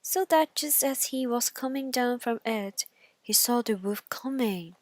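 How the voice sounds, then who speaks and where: A woman narrates a bedtime story in a fairly high voice. She reads as a storyteller, at a slow, measured pace. The recording is dry, with little room sound. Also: a little mellow, dry recording.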